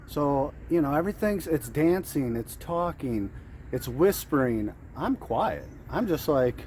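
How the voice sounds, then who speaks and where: Higher-voiced man talking over outdoor noise. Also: very animated, measured pace, darkish tone. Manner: acts out traders' thoughts, repeats phrases for emphasis. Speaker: day trader giving trading tips. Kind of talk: informal off-the-cuff motivational trading talk. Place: outdoors in the heat.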